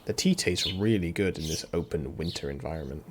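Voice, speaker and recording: A man's voice: low voice, brisk, noisy background. A man streaming a visual novel, reading the translated lines aloud with playful, jokey asides. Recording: voice mixed with loud game music. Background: loud music.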